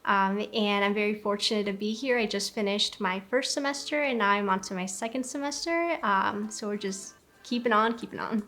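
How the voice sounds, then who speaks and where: A young woman in her twenties, from Ecuador, tells her story in an earnest, reflective interview. Her voice sits at a middle pitch, with soft music under it. She pauses often with small ums. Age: 20s. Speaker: graduate student. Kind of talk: documentary interview testimony.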